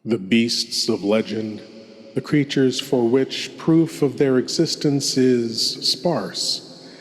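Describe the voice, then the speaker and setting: Man as a slow, ominous horror host. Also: medium pitch, soft background music, lightly acted. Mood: ominous, courtly. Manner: deliberate, theatrical, ominous pauses. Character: ghoulish horror host lord, brooding and courtly. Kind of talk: scripted horror host monologue.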